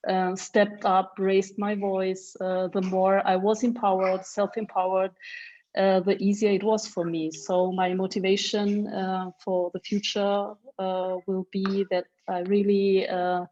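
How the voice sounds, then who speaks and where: A woman's voice: medium pitch, flat delivery, a little slow, dark tone, dull audio. A woman speaking on an online panel, hesitant and full of fillers. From Germany. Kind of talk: panel discussion.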